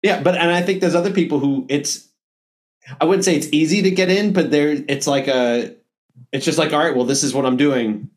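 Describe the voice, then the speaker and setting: Halting male podcast host. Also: on the high side. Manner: halting, restarts sentences often. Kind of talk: casual interview chat.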